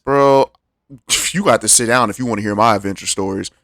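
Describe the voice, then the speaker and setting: A man's voice: lowish voice, fairly quick, creaky, fairly bright tone, dry recording. An American man giving slangy, joking live commentary on a game, talking back to it casually. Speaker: game streamer. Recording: music under the voice.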